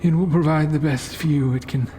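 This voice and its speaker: A man voicing a wealthy, menacing old cult leader in a horror story, formal and silkily courteous, with deliberate pauses. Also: on the high side, fairly even intonation, dark tone, soft background music, voice pushed up, close to an everyday voice. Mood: calm command. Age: older. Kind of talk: horror audio story character.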